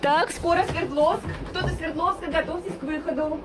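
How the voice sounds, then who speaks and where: Brisk train attendant, high voice, off-mic in a noisy carriage. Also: female voice, fairly even intonation, distant mic. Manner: brisk, businesslike, calling out to passengers. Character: bustling female train attendant serving tea.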